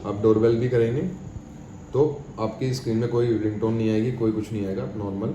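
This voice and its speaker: A man's voice: lowish voice, flat delivery, brisk. An Indian man giving an informal step-by-step product tutorial in an explanatory, repetitive way, mixing English terms into his speech. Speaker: product demonstrator. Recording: echoey room with clear background noise.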